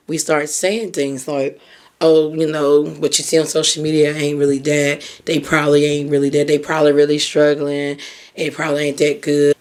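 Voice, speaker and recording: A hoarse woman hosting a podcast, talking in a candid, encouraging way and peppering her speech with "like" and "you know". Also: low voice. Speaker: podcast host. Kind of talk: casual motivational talk.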